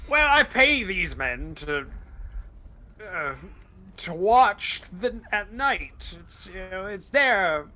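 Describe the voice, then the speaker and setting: A man's voice: high voice, very animated, takes it slowly, pushed and bright, exaggerated voice. A man doing a flustered stingy ship captain. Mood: defensive. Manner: hesitant, evasive.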